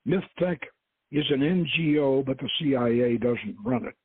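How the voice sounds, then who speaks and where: Guy reading a shrewd archaeologist hero, matter of fact, gravelly husky, tinny phone sound. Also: medium pitch, moderate pace, no echo, natural voice. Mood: shrewd, wary. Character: male archaeologist adventurer, commanding, shrewd, uneasy. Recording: muffled phone-like lo-fi audio.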